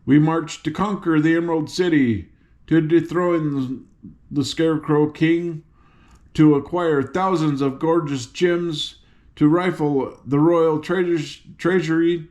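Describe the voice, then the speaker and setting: A man's voice: fairly high pitch, slow, a little mellow, voice pushed up. A man voicing a fierce young girl general, speaking in a commanding, oratorical way. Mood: rousing, declamatory.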